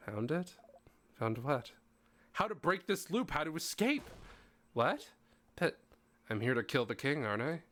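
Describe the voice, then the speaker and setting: A man voicing an anxious young male traveller trapped in a time loop, firing off rising, desperate questions. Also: fairly bright tone. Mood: urgent. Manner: rising frantic questioning, voice climbing in pitch. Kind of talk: fan voice acting of game dialogue.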